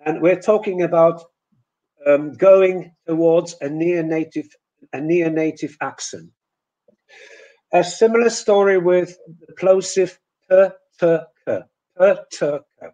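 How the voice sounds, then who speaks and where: Guy teaching english pronunciation, slowish and halting, kinda soft mellow tone, higher voice. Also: highs cut off. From Poland. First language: Polish. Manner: hesitant, halting delivery with repeated words. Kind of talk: webinar lecture with demonstrated example words.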